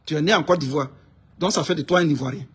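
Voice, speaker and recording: A man from Ivory Coast with an Ivorian accent and a husky voice, delivering an informal, indignant political rant, emphatic and repeating his phrases insistently. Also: fairly high pitch, fast talker. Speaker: online political commentator.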